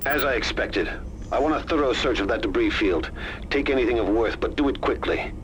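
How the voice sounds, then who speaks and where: An actor playing a haughty, sardonic pirate fleet commander in a video game, pompous, with clipped orders. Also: male voice, medium pitch, a little fast, background noise, natural voice. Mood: commanding.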